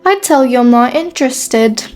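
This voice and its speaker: Female, higher-pitched, acting a skeptical quiet schoolgirl with sass, narrating a teen romance over soft music. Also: lively intonation, moderate pace. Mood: guarded, dismissive. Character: guarded, sassy quiet high school girl. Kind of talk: first-person story narration and dialogue.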